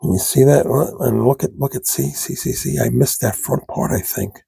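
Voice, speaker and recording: A man with a gravelly, husky voice and a froggy throat, talking slowly and haltingly as he works at his bench. His tone is dark and mellow, and the sound is close and dry. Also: medium pitch, no room sound. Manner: slow, halting, talks to viewers while working. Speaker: scale model hobbyist demonstrating. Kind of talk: casual hands-on tutorial.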